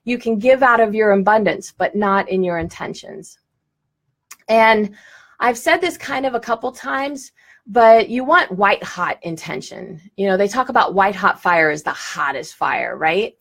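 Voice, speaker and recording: A woman's voice: medium pitch, lively intonation, measured pace, vocal fry, fairly bright tone. Woman teacher, live stream, direct and enthusiastic, occasional laughs, webcam mic. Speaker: law of attraction teacher.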